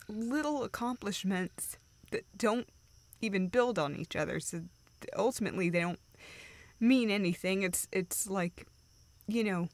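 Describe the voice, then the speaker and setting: Slow, hesitant, dejected young woman, acted. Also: medium pitch, natural voice. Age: young adult. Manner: halting, hesitant, self-deprecating. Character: dejected, self-doubting young woman confiding in a friend. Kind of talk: intimate audio roleplay, naturalistic acting.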